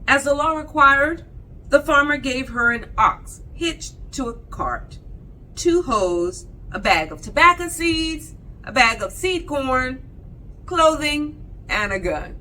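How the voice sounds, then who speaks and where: A woman reading a picture book aloud as a storyteller, with expressive delivery and deliberate, dramatic pauses between phrases. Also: sits high, slight background noise, rough recording.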